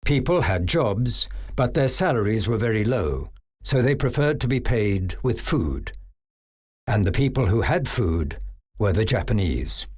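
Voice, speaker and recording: A man delivering scripted documentary narration in a measured, even way. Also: on the low side, breathy edge.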